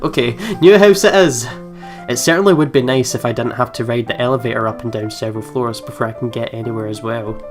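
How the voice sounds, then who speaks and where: A reactive video game host with a medium-pitched voice. The delivery moves between casual commentary and reading story text aloud. Faint game music sits behind the voice. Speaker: let's play host. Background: soft game music.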